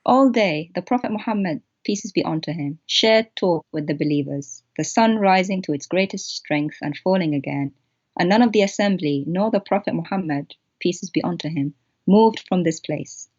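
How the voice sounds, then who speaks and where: A woman's voice: fairly low pitch, normal speed, darkish tone, muffled recording. A woman whose first language is Arabic, speaking English and retelling a story with theatrical mockery in an online discussion. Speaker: co-host of an online show.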